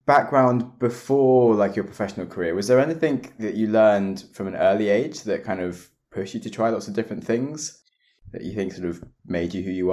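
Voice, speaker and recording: Casting: young male podcast host, deep voice, laid-back chatty questions. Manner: relaxed, chatty, uses "like" a lot. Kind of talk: casual interview chat.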